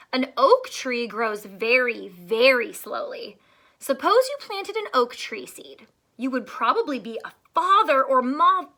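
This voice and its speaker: A woman teacher reading a picture book aloud to young children, pausing to explain simply and ask questions, drawing out words for emphasis. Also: on the high side, bright, forceful tone.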